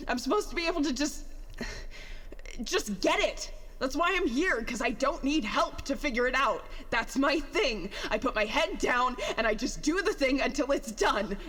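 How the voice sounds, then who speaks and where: A woman acts out a despairing young engineer in an audio drama. The voice is extremely high and breaks with crying as it rambles in bitter self-mockery. Long reverb surrounds it, and music plays behind. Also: animated, a bit bright. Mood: frustrated, rambling. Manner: rambling, halting, sarcastic, self-deprecating. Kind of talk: audio drama monologue, recorded log.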